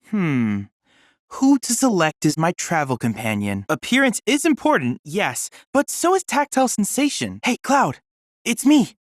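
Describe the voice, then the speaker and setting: A young android research assistant in a video game, courteous and earnest. His speech is formal and precise, with lively rises and falls in pitch. The sound is dry, with no room around it. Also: dry recording. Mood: curious, eager. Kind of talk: scripted video game dialogue.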